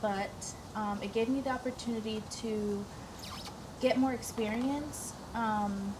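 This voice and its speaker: A woman's voice: on the high side, fairly even intonation, measured pace. Young woman, hesitant, far from mic. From northeastern United States. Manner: hesitant, many "um"s. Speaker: veterinary student. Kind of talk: casual group interview. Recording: distant mic with clear background noise.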